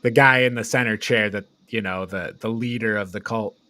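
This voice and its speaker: A man's voice: fairly low pitch, fairly even intonation, moderate pace, pushed and bright. A man co-hosting a podcast, discussing a film in a casual, tentative, conversational way. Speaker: podcast co-host discussing films.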